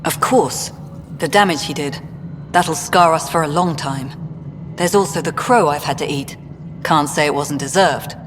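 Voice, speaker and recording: Low-voiced, dry administrator. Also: female voice, measured pace, over music. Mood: dry, frank. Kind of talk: video game dialogue. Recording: long reverb with engine-like ambient noise.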